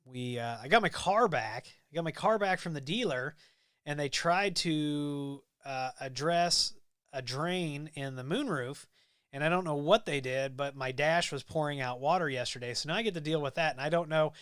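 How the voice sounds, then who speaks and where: A Midwestern American man with a slightly higher voice chats loosely on a live stream, full of ums and half-finished thoughts. His tone is smooth and clear, and the sound is close and dry with no room around it. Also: moderate pace, no echo. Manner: rambling, relaxed, with many ums and restarts. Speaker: livestream host. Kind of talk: casual live-stream chat reading viewer comments.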